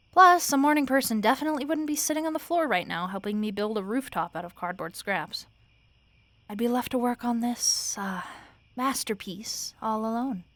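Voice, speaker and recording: A woman playing a tired, wry, sleepless roommate in a soft-spoken audio roleplay, talking casually and haltingly with fond, dry teasing. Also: on the high side, no echo, natural voice. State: sleepy, weary.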